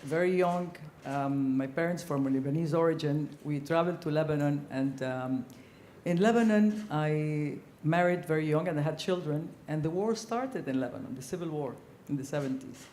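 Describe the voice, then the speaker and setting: An older woman from Ecuador speaking on a conference panel, telling her story earnestly with frequent pauses and ums. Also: low-pitched, slight background noise. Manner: earnest, reflective, frequent ums, growing animated. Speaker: panelist, former ambassador. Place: conference hall. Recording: echoey conference hall.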